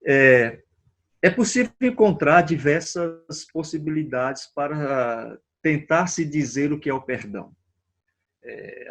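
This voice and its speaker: Pastor guy, middle pitch, careful explainer, keeps rewording stuff. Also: fairly bright tone. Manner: measured, explanatory, restates terms. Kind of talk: panel discussion on faith.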